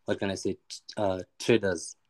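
A man with a Kenyan accent speaks as a guest in casual chat. His voice is low, and the sound is very dry. He is informal and hesitates as he looks for words. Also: level tone, normal speed, darkish tone, no echo. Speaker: podcast guest.

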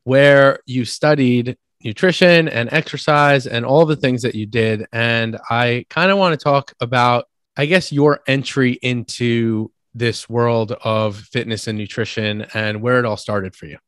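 A man hosting a podcast, with upbeat, energetic intros and curious questions for his guest. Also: mid-range voice, a little slow, few pauses, no room sound. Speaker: podcast host. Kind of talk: casual interview chat.

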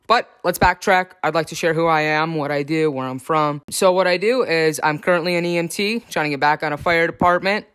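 A man's voice: fairly high pitch, fairly bright tone. A young man from the Chicago area, candidly talking through his own experiences in a casual, upbeat vlog with self-deprecating humor. His voice is changing and sometimes cracks. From Chicago area, USA. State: voice changing on testosterone, occasional cracks. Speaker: vlogger.

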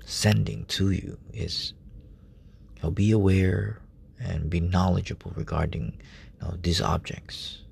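Man, lay preacher, hushed informal sermon, slow delivery, gravelly fry, faint background hiss. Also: sits low, fairly even intonation. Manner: hushed, halting, many fillers. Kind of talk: informal late-night sermon. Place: room in a relative's house at night.

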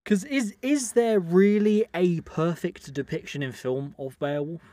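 A man's voice: high voice, a little slow, dark tone, no echo. A man co-hosting a podcast, eagerly chiming in on casual film talk and repeating his phrases. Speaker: podcast co-host.

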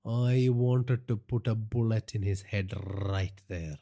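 A man voicing a hot-tempered older Indian crime boss father in an audiobook, gruff, coarse and domineering. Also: high voice, animated, unhurried, voice pushed up. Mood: scornful, irritated. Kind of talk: audiobook character dialogue.

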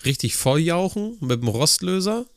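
A man's voice: fairly high pitch, big pitch swings, normal speed, no room sound. A man from northern Germany co-hosting a casual podcast about heating work, teasing his partner with dry humor. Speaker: podcast co-host, heating technician.

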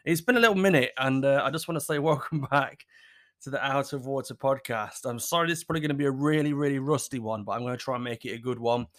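A man's voice: medium pitch, fast, fairly bright tone. A man in his thirties from England hosting his own podcast, talking casually in a rambling, apologetic way with a scratchy, sore throat. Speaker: podcast host. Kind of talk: casual solo podcast chat.

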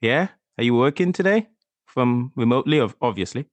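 A man's voice: medium pitch, animated, a little fast, no room sound. A man hosting a podcast in an informal, chatty, conversational way. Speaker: podcast host.

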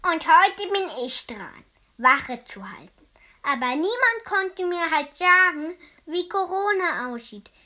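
A woman's voice: very high voice, very animated, slow, sharp tone, voice pushed up, heavily acted. A woman voicing an earnest meerkat on guard duty in a storybook reading, speaking partly in a half-whisper.

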